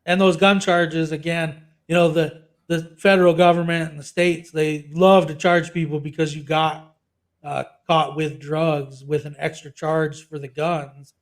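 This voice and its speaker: A man with a slightly raised pitch, chatting casually on a podcast. He rambles, breaks into half-laughs mid-sentence and lets his thoughts trail off, leaning into blunt opinion. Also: little pitch movement, a little slow. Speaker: podcast co-host. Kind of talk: casual political podcast chat.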